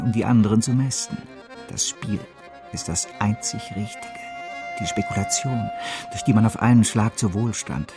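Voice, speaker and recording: A driven financier in a radio drama. He speaks in a grand, declamatory way, like a visionary selling his schemes, with clear music underneath. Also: fairly low pitch. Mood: fervent, defiant. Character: fervent male financier and speculator, grand and driven. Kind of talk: German radio drama acting.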